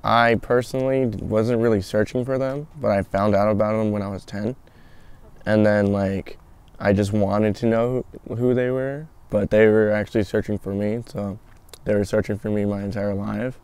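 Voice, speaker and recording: A man's voice: fairly low pitch, flat delivery, moderate pace, a little mellow, clear, resonant tone. A man in his early twenties casually telling his own story in an outdoor interview. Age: early 20s. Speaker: documentary interviewee. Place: outdoors in a garden.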